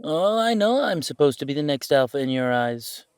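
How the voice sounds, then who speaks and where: A man's voice: a little high, big pitch swings, normal speed, clean tone, no room sound. Man playing a wry werewolf son, close dry sound. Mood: skeptical. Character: sardonic, rebellious adult werewolf son. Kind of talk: audio roleplay drama acting.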